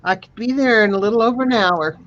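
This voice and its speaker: A woman's voice: mid-range voice, fast talker, fairly bright tone, muffled recording. A woman in her sixties chatting casually with friends in a teasing way. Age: 60s. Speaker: painting group member. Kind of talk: casual chat.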